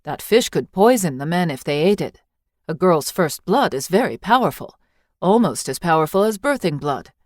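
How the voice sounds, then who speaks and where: A woman's voice: lowish voice, no echo, lightly acted. A practical village mother from a storybook tale, put on by a female narrator. She is brusque, bossy and scolding, with a dry, close sound. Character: brusque, practical village mother, gruff but secretly fond.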